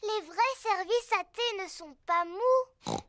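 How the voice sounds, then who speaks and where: A woman's voice: very high-pitched, animated, slow, a bit bright, full character voice. A woman voicing a cheerful, giggly, bossy little girl piglet in a French cartoon dub. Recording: music behind the voice.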